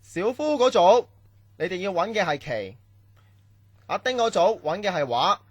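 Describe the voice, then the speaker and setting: A man's voice: sits high, big pitch swings, normal speed, no room sound, studio quality. A man acting a strict, domineering training-camp instructor in a Cantonese radio drama, barking orders and scolding. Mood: commanding.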